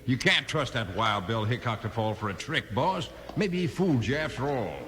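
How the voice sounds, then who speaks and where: A man's voice: mid-range voice, lively intonation, moderate pace, close to an everyday voice. An actor playing a nervous, doubting outlaw henchman with an American accent, uneasy and protesting, in a 1950s radio western. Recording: reverberant, faintly noisy old radio recording.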